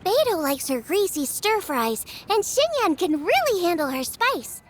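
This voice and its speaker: A woman's voice: extremely high pitch, big pitch swings, measured pace, full character voice. A woman voicing a cheerful, earnest young female chef, girlish and friendly, in a game cutscene. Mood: thoughtful. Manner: friendly, polite, enthusiastic. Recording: music behind the voice.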